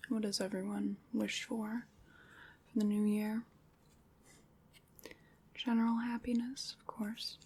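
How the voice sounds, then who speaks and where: Whispering witch played by a woman, wry and unhurried. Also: mid-range voice, little pitch movement. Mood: musing, gentle. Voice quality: soft, breathy whispering. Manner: unhurried, musing, dryly teasing. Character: a female witch casting a ritual, wry, faintly condescending. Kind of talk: ASMR roleplay.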